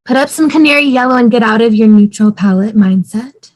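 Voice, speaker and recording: A woman's voice: a little high, brisk, lightly acted. A woman in an amateur table read playing an exasperated, teasing young wife. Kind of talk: amateur table read acting over video call.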